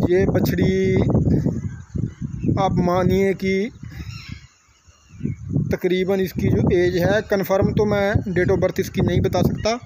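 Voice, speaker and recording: A man with a high voice talks earnestly and promotionally in a casual farm walkthrough. He speaks in short bursts. The recording is outdoors and loud noise runs under his voice, with roosters crowing. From Punjab, India. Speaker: dairy farm owner showing cattle. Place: outdoor cattle pen on a farm.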